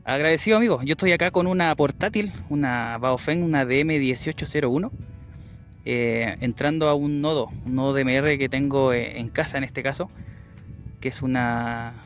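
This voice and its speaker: A man's voice: mid-range voice, moderate pace, faint music behind. A man with a Chilean accent talking courteously in a casual outdoor vlog while operating a ham radio. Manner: courteous, uses radio call signs and phonetic alphabet. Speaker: amateur radio operator and vlogger. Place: outdoors on a windy hilltop.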